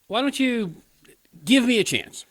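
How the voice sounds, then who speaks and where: Actor as an earnest young man, animated pitch. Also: measured pace, heavily acted, low-quality recording. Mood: pleading, unsure. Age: 20s. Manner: earnest, hesitant, pleading. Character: earnest, inexperienced young male crisis hotline counselor. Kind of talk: radio play acting.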